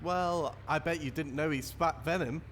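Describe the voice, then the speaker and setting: Man voicing a high-pitched, dim sheriff puppet. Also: normal speed, fairly bright tone, a little hiss or hum, natural voice. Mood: puzzled. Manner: oblivious, silly, literal. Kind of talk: comic puppet-show musical performance.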